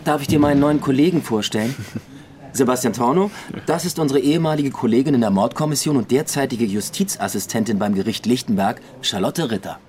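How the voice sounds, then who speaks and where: Actor as evasive mid-voiced police detective. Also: male voice, medium pitch, fairly quick, a little mellow, natural voice. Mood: friendly, brisk. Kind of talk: naturalistic radio drama acting.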